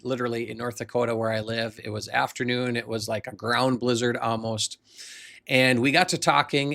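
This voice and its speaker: American man, forties, mid-pitched, strong vocal fry, earnest motivational storytelling, emphatic, conversational coach delivery. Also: fairly bright tone. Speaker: business coach and speaker. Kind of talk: motivational personal storytelling to camera.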